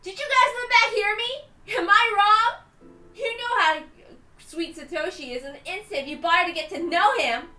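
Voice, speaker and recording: A woman voicing a furious, lovestruck teenage girl defying her elders, strained and shouting in a dramatic visual novel reading. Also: very high voice, moderate pace, reverberant, faint music behind, pitched above the performer's own voice. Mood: pleading anger.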